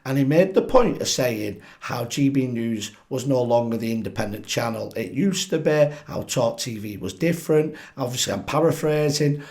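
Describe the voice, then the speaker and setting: Guy w a croaky chesty voice, mid pitch, doing an opinionated solo commentary vlog, asks viewers stuff. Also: moderate pace, few pauses. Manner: animated, asks viewers directly, frequent restarts. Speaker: political commentary channel host.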